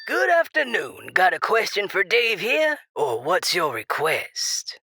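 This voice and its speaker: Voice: medium pitch, huge pitch swings, slow pace, pushed and bright, breathy edge, full character voice. An actor playing a chirpy radio show host with a playful, showy, teasing manner and a faint menace. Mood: brisk, cheery. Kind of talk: audio drama in radio show style.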